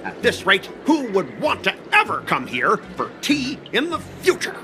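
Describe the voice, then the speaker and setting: High-pitched pompous whining bully. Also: male voice, huge pitch swings, normal speed. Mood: scornful. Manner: haughty, sarcastic, theatrically indignant. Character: pompous, whiny, bullying male tea house customer. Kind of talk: video game voice acting. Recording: music under the dialogue.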